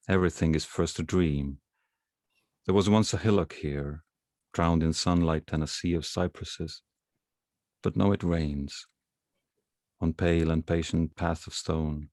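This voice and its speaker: A man with an Estonian accent and an extremely low, flat voice reads his poetry at an unhurried pace. He pauses and hesitates between poems. The sound is dry and close, with no room behind him. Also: no echo. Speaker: guest poet. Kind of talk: poetry reading.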